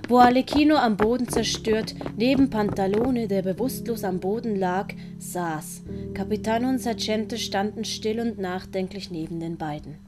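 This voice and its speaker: A woman narrates a storybook in Swiss German-accented speech. Her pitch sits at an ordinary female level, and music plays clearly behind her. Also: normal speed. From Switzerland. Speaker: narrator and author of an audiobook. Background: music and sound effects.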